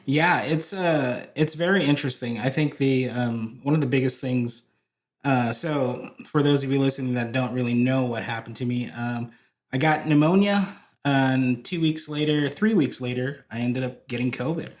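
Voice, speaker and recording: A man's voice: mid-range voice, normal speed. A man from Hawaii, a guest on a podcast, telling stories in a rambling way with many fillers and restarts. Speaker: podcast guest, church video director. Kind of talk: casual interview chat.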